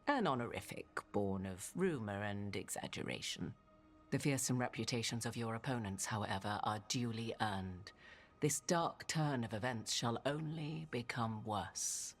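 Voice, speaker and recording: A woman's voice: a bass voice, expressive, measured pace, soft background music, full character voice. A woman playing a regal, cryptic witch and arcane advisor in a video game, with formal, archaic, theatrical speech. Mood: grave, aloof. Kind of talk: video game dramatic acting.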